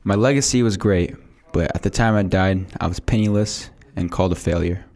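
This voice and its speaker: A man's voice: deep voice, fairly quick, a little mellow. A man playing a boastful male inventor of the 1800s, reading a prepared script aloud in a school podcast role-play. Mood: matter-of-fact.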